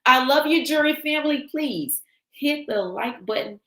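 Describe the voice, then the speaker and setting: A woman hosting a livestream in casual chat, animated, reading viewer comments aloud, her voice a little raspy from travel. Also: high-pitched, normal speed, roomy sound. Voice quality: slightly raspy voice. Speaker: livestream host.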